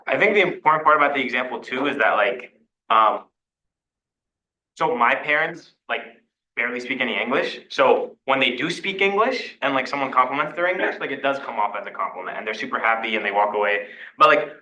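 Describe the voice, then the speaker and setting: A man's voice: mid-range voice, animated, fairly quick, pushed and bright, echoey room, poor audio. A young man leading a student meeting discussion, casual and full of fillers and restarts. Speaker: student senate discussion leader. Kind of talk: facilitating a group discussion.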